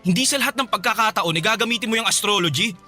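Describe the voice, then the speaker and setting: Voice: medium pitch, racing, pushed and bright. A performer playing an exasperated, career-minded young man, a fed-up ex-boyfriend, in a Filipino radio drama with impatient delivery. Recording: faint music behind the voice.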